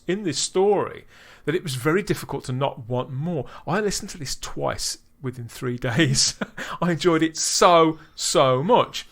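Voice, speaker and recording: A man's voice: medium pitch, a bit bright. A man hosting a podcast, reviewing in casual chat, enthusiastic, with frequent restarts. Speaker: podcast host.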